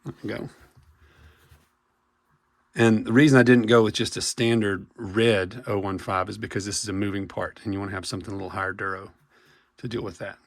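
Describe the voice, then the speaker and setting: A man with a cold, hoarse as he walks through a repair step by step in a casual, halting way with many fillers and restarts. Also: on the low side, steady tone, no room sound. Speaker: paintball marker technician. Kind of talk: hands-on repair tutorial.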